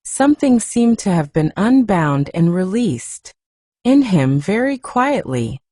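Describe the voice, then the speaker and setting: A woman narrating a classic novel as a soft, soothing bedtime story. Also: medium pitch, big pitch swings, no room sound, studio quality. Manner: gentle, unhurried, soothing. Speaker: audiobook narrator.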